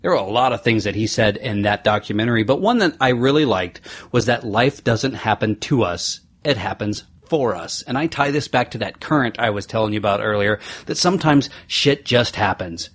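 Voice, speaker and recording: A man's voice: mid-range voice, husky. A man hosting a solo podcast, talking through lessons in an earnest, motivational, conversational way. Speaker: podcast host.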